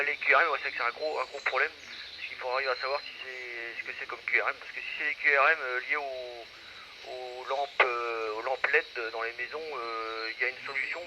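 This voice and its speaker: A man's voice: medium pitch, background noise. A man, an amateur radio operator, chatting casually in a rambling, self-correcting way over a radio transmission.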